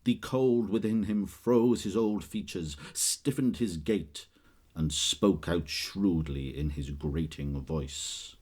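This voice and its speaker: Man as slow, deep, husky storyteller. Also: a little mellow, full character voice. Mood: disapproving, relishing. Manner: deliberate, emphatic. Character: grave Victorian storyteller narrating a ghost tale. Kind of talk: dramatic scripted storybook narration.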